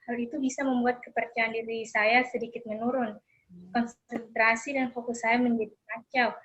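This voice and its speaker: Young woman, counseling client role-play, hesitant, flat delivery, dull lo-fi call audio. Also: fairly high pitch. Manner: hesitant, with soft fillers. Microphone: online call.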